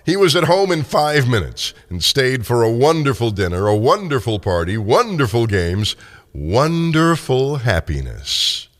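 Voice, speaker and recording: A man's voice: medium pitch, very animated, measured pace. An older man narrating a classic tale as a dramatic storyteller.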